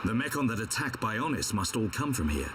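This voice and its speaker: A man playing a seasoned, resolute warrior leader in a video game, measured and formal. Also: on the low side, sing-song, background noise. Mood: grave. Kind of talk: video game cutscene acting. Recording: game music behind the voice.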